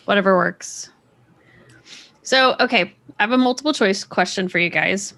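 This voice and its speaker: A woman's voice: medium pitch, normal speed, gravelly, fairly bright tone. Playful woman posing a quiz. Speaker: podcast co-host running a quiz. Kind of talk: casual panel chat.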